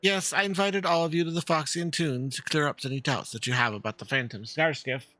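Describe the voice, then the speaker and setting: A man voicing a dignified, grieving fox-woman pilot veteran, measured and earnest, in a game dialogue reading. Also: on the high side, sharp tone, no room sound, faint music behind. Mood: solemn explaining.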